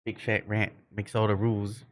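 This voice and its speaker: A man's voice: on the low side, level tone, normal speed. A man streaming a game and chatting casually with viewers, rambling and often searching for his words. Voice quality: cannot roll R's. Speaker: game livestreamer. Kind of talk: casual livestream chat.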